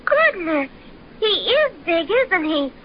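A woman's voice: very high-pitched, very animated, slow, exaggerated voice. A sweet, wide-eyed little girl. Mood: wondering. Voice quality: gushing, wondering. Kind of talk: 1930s radio drama acting. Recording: dull old radio transcription with faint hiss.

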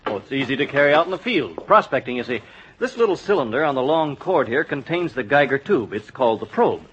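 An actor playing a polite store clerk who explains earnestly and patiently, in 1950s radio comedy style. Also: male voice, mid-range voice, big pitch swings, brisk, fairly bright tone. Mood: helpful, earnest. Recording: dull, faintly noisy vintage radio recording.

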